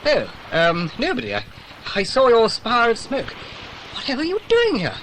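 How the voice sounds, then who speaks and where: An actor playing a flustered, bumbling, genteel British birdwatcher who stammers and fusses in a children's audio drama. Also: male voice, very high-pitched, wildly swooping pitch, normal speed, a bit bright, slight background noise, heavily acted, rough recording. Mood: flustered, surprised. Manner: stammering, hesitant, fussy.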